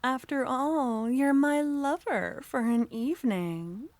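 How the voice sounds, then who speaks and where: Woman playing a slow, whispery possessive witch. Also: high-pitched, dry recording, voice pushed up. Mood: teasing. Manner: soft, teasing, often whispered. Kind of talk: ASMR roleplay.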